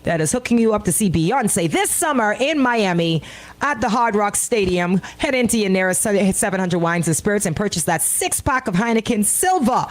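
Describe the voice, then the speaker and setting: Lively Bahamian woman hosting radio. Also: medium pitch, normal speed. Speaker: radio host. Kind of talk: casual on-air radio banter. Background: music bed at times.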